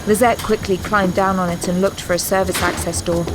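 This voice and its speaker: An actress narrating a scripted audio drama as a composed third-person storyteller. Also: female voice, on the low side, fairly even intonation, fairly quick, background noise, natural voice. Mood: tense narration.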